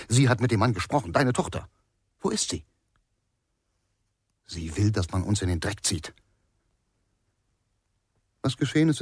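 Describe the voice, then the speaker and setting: Middle-aged guilty patriarch, radio thriller: clipped, defensive, deep, dry studio sound. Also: male voice, slightly rough, dry recording. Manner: agitated, self-justifying, clipped. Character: guilty, defensive father fighting to protect his existence. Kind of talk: German radio crime drama acting.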